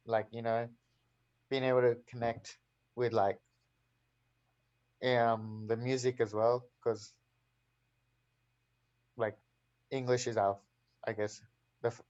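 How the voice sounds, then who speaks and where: A man's voice: mid-range voice, darkish tone, lo-fi sound. A man with an Australian accent, chatting on a panel in a laid-back way, full of "like" and "um". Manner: laid-back, many fillers. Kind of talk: casual panel chat.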